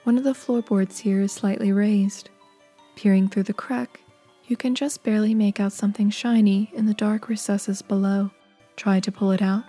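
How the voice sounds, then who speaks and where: A woman narrating a horror adventure game in a calm, scripted second-person style. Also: mid-range voice, normal speed, lightly acted. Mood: calm, measured. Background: faint music.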